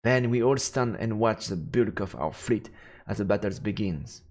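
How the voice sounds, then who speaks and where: A man's voice: fairly low pitch, lively intonation, normal speed, darkish tone. A man narrating a fantasy visual novel aloud as a streamer, in a dramatic storybook style. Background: faint game music.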